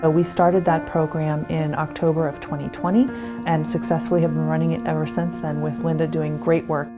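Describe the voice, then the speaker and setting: Woman program director: quick, businesslike talk, low voice, music behind. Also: little pitch movement. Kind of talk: interview in a produced video. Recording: music bed under the voice.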